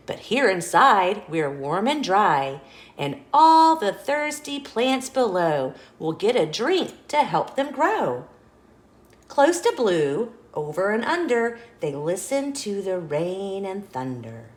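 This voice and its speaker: A woman reading a children's picture book aloud as an expressive, measured storyteller. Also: mid-range voice. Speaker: storyteller, host of a children's read-along show. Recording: roomy echo.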